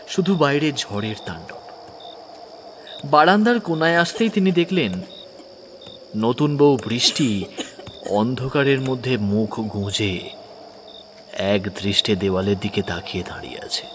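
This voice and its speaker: A man's voice: medium pitch, a little hiss or hum. A man narrating a horror audio story dramatically, sometimes dropping to a whisper. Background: music.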